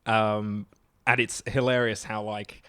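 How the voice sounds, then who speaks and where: A man's voice: lowish voice, flat delivery, slow, a bit bright, smooth, no room sound. A man chatting casually and comically as a podcast guest, teasing and sarcastic with mock-grandiose turns.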